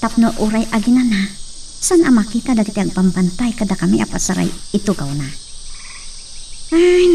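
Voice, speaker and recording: A woman acting a young farm girl, dutiful and anxious, in a radio drama. Her voice is very high and sounds slightly distant, with faint insect noise behind. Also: vocal fry, dark tone, full character voice. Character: young farm daughter, dutiful, worried, talking to father.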